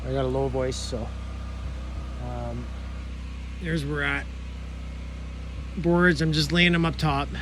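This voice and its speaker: A man's voice: medium pitch, fairly even intonation, takes it slowly, pauses often, fairly bright tone, slight background noise, rough recording. A man vlogging casually outdoors at his homestead sawmill, rambling in a folksy way with lots of fillers and "right?" tags. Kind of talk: casual vlog talk to camera. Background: generator running.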